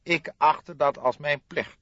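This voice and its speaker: A man's voice: fairly high pitch, slow, fairly bright tone, dry recording, dull audio, voice pushed up. A man voicing a short, round-faced notary in an audiobook, stiffly formal, self-important and guarded. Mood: officious. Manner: stiffly formal, officious, hesitant when pressed. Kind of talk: audiobook character acting.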